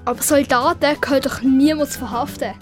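Young boy, earnest and easily upset, female actor, very high pitch, children's audio drama, light music behind. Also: fairly even intonation, fairly quick, close to an everyday voice. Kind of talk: audio story dialogue for children.

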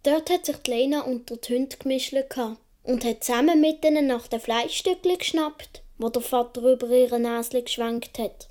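A woman's voice: high-pitched, moderate pace, few pauses, mellow, natural voice. A woman with a Swiss accent playing a naive young boy of seven or eight, telling his story in a radio play. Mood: earnest, hushed.

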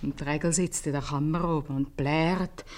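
A woman's voice: deep voice, steady tone, normal speed, a little mellow, lightly acted. A woman playing a nagging, folksy innkeeper's wife with a Swiss German accent in a 1950s radio comedy. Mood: scolding, bitter. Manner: scolding, folksy. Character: nagging, plain-spoken innkeeper's wife, mother of a daughter.

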